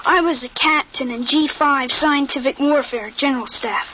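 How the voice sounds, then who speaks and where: A woman playing a thirteen-year-old boy who speaks with an adult's calm, articulate knowledge in a 1950s radio drama. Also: high voice, normal speed, lightly acted. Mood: explaining. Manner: calm, articulate, matter-of-fact. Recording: dull old radio broadcast with faint hiss.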